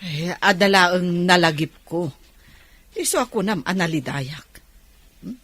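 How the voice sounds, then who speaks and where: An actress with an Ilocano accent playing a lonely, anxious older aunt in a radio drama. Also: female voice, lowish voice, expressive, unhurried, a bit bright, no room sound. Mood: sad, pensive.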